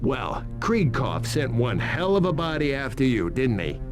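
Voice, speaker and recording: An actor playing a needling police interrogator in a video game, sarcastic and taunting. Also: male voice, mid-range voice, sing-song, unhurried, over music. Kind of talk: scripted game acting.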